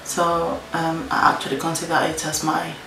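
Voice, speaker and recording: A young woman whose first language is Spanish, chatting casually to her camera in a story-time vlog. She talks loosely, repeats her own phrases, and fills pauses with many ums. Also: low-pitched, monotone, noisy background. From Spain. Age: young adult. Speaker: vlogger. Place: indoor room against a plain wall. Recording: roomy echo, faint music.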